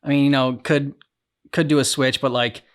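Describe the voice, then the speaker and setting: A man hosting a reaction show, talking casually and haltingly as he thinks aloud. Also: medium pitch, level tone, fairly bright tone, clean tone. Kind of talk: casual discussion.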